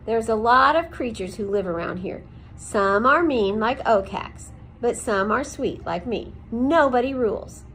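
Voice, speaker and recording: A woman reading aloud and voicing a blunt, loud old male porcupine who teases and scoffs. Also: a little high, animated, unhurried, a little hiss or hum, rough recording. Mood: scoffing.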